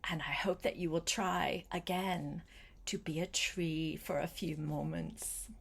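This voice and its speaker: An older woman reading a picture book aloud to a small child, gentle and coaxing, with chatty asides spoken straight to the child. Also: low voice, steady tone, creaky, slightly rough. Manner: gentle, unhurried, coaxing, addresses the child directly.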